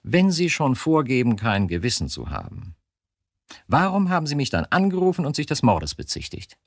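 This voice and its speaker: An actor in a radio drama playing a troubled, probing chief of criminal police who questions insistently. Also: male voice, mid-range voice, wildly swooping pitch, moderate pace, no echo, clean studio sound. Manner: interrogating, insistent short questions.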